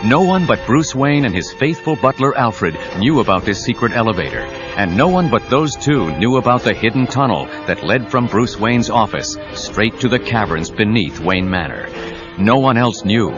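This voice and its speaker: An actor narrating a superhero audio drama as a suspenseful storyteller. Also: male voice, on the low side, animated, moderate pace, lightly acted. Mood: dramatic, suspenseful. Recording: dull 1990s cassette, music under voice.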